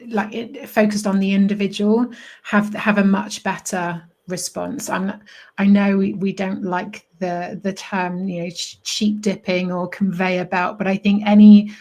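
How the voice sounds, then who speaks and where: Woman panelist on a video call webinar, pretty flat delivery, measured and thoughtful. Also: medium pitch. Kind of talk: conversational panel answer.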